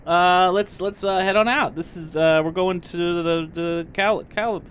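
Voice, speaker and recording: A man's voice: high-pitched, steady tone, measured pace, a bit bright, a little hiss or hum. A man playing a tabletop roleplaying game, improvising casual, informal chat as he muses aloud. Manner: informal, musing.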